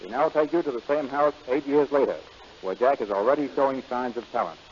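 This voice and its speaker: A man's voice: medium pitch, fairly quick, background noise, close to an everyday voice. A man playing a formal announcer presenting the story in an old radio comedy. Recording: muffled vintage radio recording.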